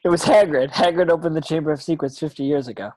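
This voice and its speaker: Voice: medium pitch, fast talker, darkish tone, natural voice, low-quality recording. An actor in an amateur table read playing an earnest, urgent schoolboy wizard.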